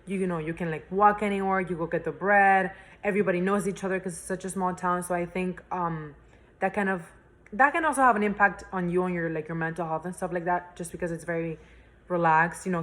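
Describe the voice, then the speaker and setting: A woman's voice: on the low side, fairly even intonation, fairly quick. A young woman from Spain, a university student, chatting as an interview guest with lots of fillers and restarts. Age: young adult. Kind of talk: casual interview chat.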